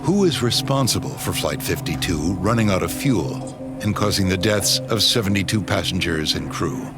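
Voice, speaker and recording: A man's voice: deep voice, animated, moderate pace. A man reading scripted, dramatic documentary narration as the narrator. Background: dramatic music bed.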